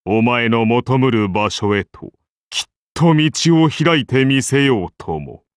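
A man's voice: mid-range voice, animated, takes it slowly, dry recording, clean studio sound. A man voicing a majestic, benevolent Roman founder-king turned god in an anime game, with grand, solemn, archaic declamation. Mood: tender, reassuring. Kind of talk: anime game character voice lines.

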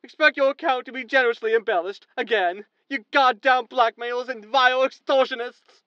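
Woman acting a high-pitched, sardonic media boss. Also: fairly quick, pushed and bright, no room sound, highs cut off. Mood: exasperated, bitter. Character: slick, cynical female tabloid media boss. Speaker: voice actor in a sci-fi comedy audio drama. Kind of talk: sitcom audio drama acting.

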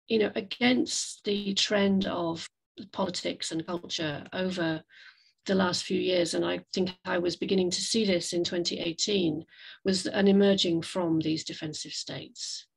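A woman giving an online slide talk as a guest speaker over a video call, with hesitant, reflective, measured phrasing. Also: medium pitch, creaky, slightly rough, poor audio. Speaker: guest speaker, climate café facilitator. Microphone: video call microphone.

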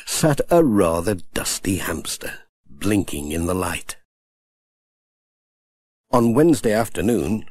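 A man reading a children's storybook aloud with gentle, expressive storytelling. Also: lowish voice, slightly rough, no room sound. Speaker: audiobook narrator.

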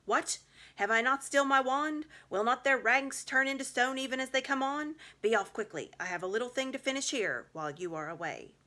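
A woman's voice: high-pitched, animated, fairly quick, pushed and bright, pitched above the performer's own voice. A woman voicing a haughty evil witch who commands her minions in a storybook reading. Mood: commanding.

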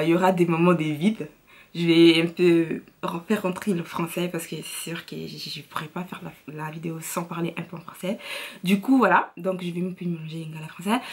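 A woman's voice: mid-range voice, slightly rough. A young woman with an Italian accent, chatting casually through a makeup tutorial in her bedroom, switching between languages with frequent fillers. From Italy. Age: young adult. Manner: chatty, mixes French and Lingala, frequent fillers. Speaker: beauty vlogger. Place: bedroom, indoors.

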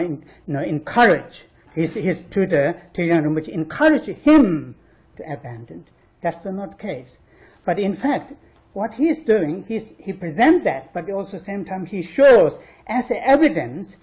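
Male panel speaker, non-native English, argumentative and emphatic, halting phrasing with repeated stressed words. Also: fairly high pitch, darkish tone. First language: not English. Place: university panel discussion room.

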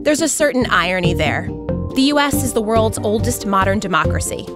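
An American woman narrates a podcast from a script. Her voice sits at a middle pitch, and music plays prominently behind her. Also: lively intonation, fairly quick. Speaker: podcast host. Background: music bed.

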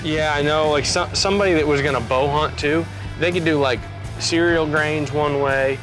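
A man's voice: fairly high pitch, normal speed, low-quality recording. A man talking in a casual, conversational way about land and hunting. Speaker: land agent and hunter. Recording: faint music bed behind the voice.

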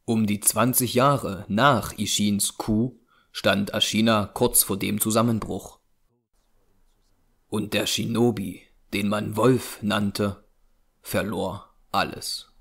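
Low-voiced male audio drama narrator. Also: steady tone, slow, vocal fry, fairly bright tone. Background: music.

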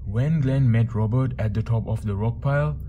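A man narrating a true disaster story as a channel host, in a steady storytelling manner with asides to the viewers. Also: medium pitch, normal speed, narrow, tinny audio. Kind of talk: scripted documentary narration. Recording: faint music bed under narration.

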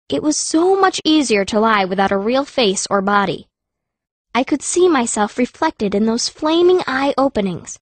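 A woman voicing a fierce, cunning young girl mage who narrates her own story as an audiobook, with long reverb. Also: high voice. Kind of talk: first-person audiobook narration.

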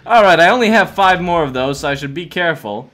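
A man's voice: fairly high pitch, animated, quick pace, a bit bright. A man in his twenties giving casual, jokey gaming commentary as a host, narrating a roleplay adventure with mock drama. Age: 20s.

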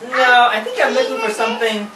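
A woman's voice: a little high, fairly even intonation, fairly quick, distant mic, noisy background, close to an everyday voice. A woman voicing an anxious male rooster who talks in a hesitant, stammering way, in an amateur home puppet show. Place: indoor room with a puppet stage. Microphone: far camera. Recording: roomy home video from afar.

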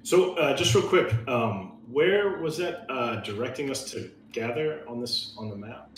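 A man's voice: mid-range voice, animated, a little slow, recorded from a distance. A man chatting casually as a player at a tabletop roleplaying game. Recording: echoey room with faint noise.

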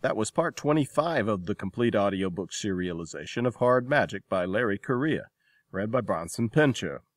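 Low-voiced male podcast host. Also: expressive, normal speed, dry recording, studio quality. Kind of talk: scripted podcast sign-off.

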